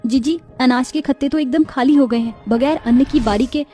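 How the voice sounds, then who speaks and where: A woman's voice: sits high, normal speed, warm tone, soft background music, pitched above the performer's own voice, natural voice. A woman voicing a poor young village daughter-in-law in a cartoon story, earnest and pleading in a dramatic, plaintive way. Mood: hopeful. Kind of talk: Hindi moral cartoon story acting.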